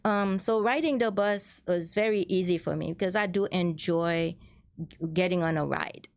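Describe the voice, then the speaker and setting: Middle-aged woman from Haiti, lowish voice, earnest guest, dry mic. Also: normal speed, vocal fry, smooth, no room sound. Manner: earnest, many restarts and "so therefore". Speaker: guest, transit ambassador. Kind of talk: casual interview chat.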